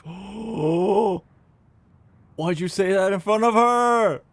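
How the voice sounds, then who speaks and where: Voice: mid-range voice, drawn-out words, no room sound. A streamer giving casual, playful commentary on a game playthrough, improvising along with the story.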